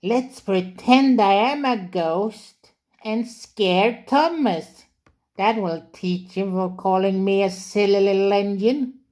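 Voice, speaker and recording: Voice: medium pitch, takes it slowly, a little mellow, pitched above the performer's own voice, close to an everyday voice. A storyteller voicing a cheeky small young male engine who pretends to be a ghost, in a spooky, teasing voice. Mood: mischievous.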